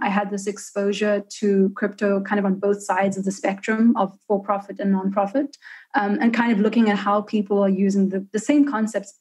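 A young South African woman in her twenties, talking freely as a guest in a relaxed interview. She is chatty and lively, laughing often, speaking into a desk mic in a room at home. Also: mid-range voice, rough recording. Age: 20s. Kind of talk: casual interview talk. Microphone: studio mic on a desk stand.

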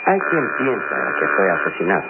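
An actor playing a confident, loyal astronaut captain in an old radio serial, earnest and respectful. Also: male voice, deep voice, sing-song, normal speed. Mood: concerned. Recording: old radio recording, loud hum, muffled.

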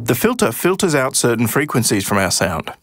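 A man's voice: medium pitch, very animated, a little fast, music in the background. A middle-aged man narrating a scripted explainer as a sound designer.

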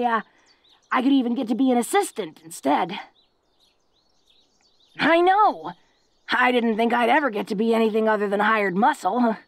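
A woman's voice: a little high, gravelly, dry recording. A woman playing a tough but secretly awkward, tender young orc woman in an intimate romantic audio roleplay, with a casual, folksy, hesitant way of talking. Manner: casual, folksy, hesitant with frequent stammers and "huh?" tags.

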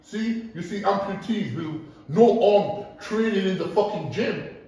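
A man's voice: high-pitched, animated, measured pace, darkish tone. Blunt man in an echoey gym. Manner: blunt, profane, preachy. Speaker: gym owner giving a seminar. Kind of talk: blunt motivational talk to a group. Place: large echoey gym indoors. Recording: echoey gym, distant mic.

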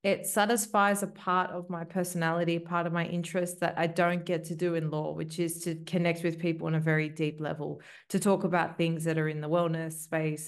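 A woman in her thirties from Australia with an Australian accent, a lawyer talking fluently and openly as a guest on a video call. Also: low voice. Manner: fluent and open, conversational. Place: home office, indoors. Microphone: headset.

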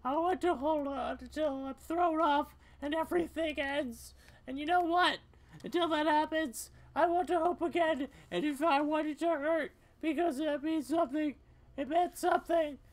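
A woman voicing a scared, angry, defiant young female cat in a video game, halting and earnest. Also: high-pitched, natural voice. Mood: raw, anguished. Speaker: gaming video player voicing the characters.

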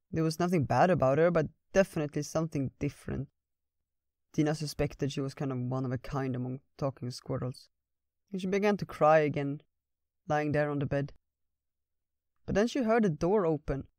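A woman storyteller reading a fairytale as scripted, gentle narration. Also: sits low, no room sound, studio quality.

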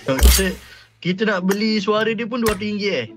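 A man's voice: high voice, animated, fairly quick, very noisy. A young man with a Malaysian accent chatting casually as he streams a game. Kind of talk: casual livestream chat. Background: game music.

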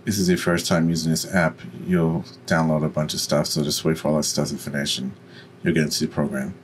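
Man, low deep voice, instructional, software walkthrough, faint background rumble. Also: flat delivery, a little fast. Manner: instructional, step by step. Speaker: tutorial presenter. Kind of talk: software tutorial walkthrough, unscripted.

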